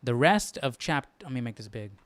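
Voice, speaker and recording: A man's voice: medium pitch, lively intonation, moderate pace, clean tone. A man hosting a live Bible study, chatting informally and thinking aloud. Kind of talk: casual livestream chat.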